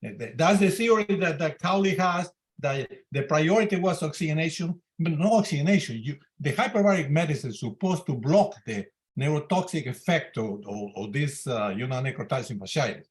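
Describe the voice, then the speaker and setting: An older man around eighty with a slightly high voice speaks in non-native English with loose grammar. He comments as a senior surgeon in an informal clinical discussion. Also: lively intonation, fairly quick, few pauses. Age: 70s to 80. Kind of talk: informal medical conference discussion.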